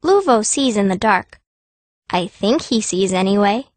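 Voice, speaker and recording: A woman's voice: mid-range voice, lively intonation, a little slow, no echo, lightly acted. A woman voicing a fierce, cranky young girl mage, blunt and grumbling, in a first-person fantasy audiobook. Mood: narrating.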